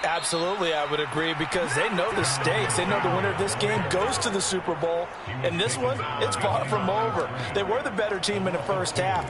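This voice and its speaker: A man giving measured, explanatory football color commentary for a video game. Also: high-pitched, very noisy. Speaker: color analyst.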